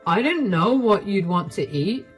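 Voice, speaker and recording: An entitled, jobless husband whines and bristles at every complaint, shifting blame with a sulky, defensive edge, as a woman reading the story puts him on. Soft music plays faintly underneath. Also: mid-range voice, lively intonation, measured pace, husky. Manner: whiny and defensive.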